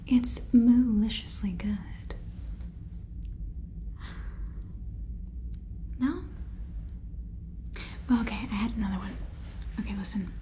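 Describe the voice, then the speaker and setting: A young woman playing a brisk, scheming space-farm businesswoman in an ASMR sitcom, chatty and salesy in whispers and soft speech, stammering over her words. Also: fairly high pitch, slight background noise. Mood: eager pitching. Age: young adult. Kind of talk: ASMR roleplay sitcom, whispered and softly spoken.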